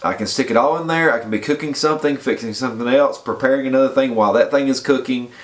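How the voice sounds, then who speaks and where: Congested man giving casual fitness tips. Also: mid-range voice. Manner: chatty, repeats points and checks in with "okay?". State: congested from a head cold. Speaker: fitness vlogger. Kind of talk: casual advice talk to camera.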